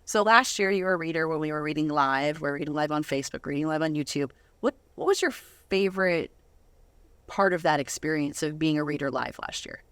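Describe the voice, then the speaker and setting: Female host, lower register, effusive and earnest, casual interview, very dry studio sound. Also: animated, brisk, fairly bright tone, smooth, dry recording.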